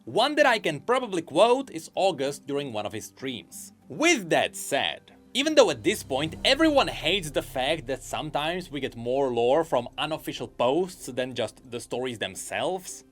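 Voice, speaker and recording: A man's voice: on the high side, a bit bright. A man commenting on game lore in a chatty, speculative voice-over.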